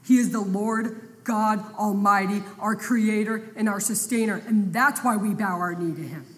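A woman's voice: mid-range voice, moderate pace. Emphatic woman teaching scripture in reverb. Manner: emphatic, builds to forceful peaks. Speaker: women's Bible study teacher. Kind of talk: sermon. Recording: long reverb, faint background noise.

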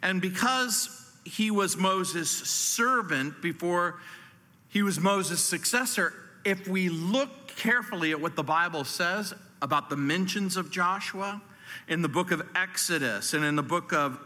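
An American man in his sixties preaches in a bright, slightly high voice that rings through a large hall. His delivery is deliberate, with pauses and a folksy, dry humour. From New Orleans, southern United States. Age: 60s. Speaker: pastor, guest speaker. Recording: echoey hall, long reverb.

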